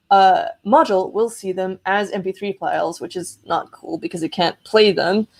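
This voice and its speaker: A woman hosts a casual maker livestream with plenty of enthusiasm. Her voice sits at an ordinary pitch, and she talks informally, wandering from point to point as she demonstrates electronics. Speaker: maker hosting a live electronics show.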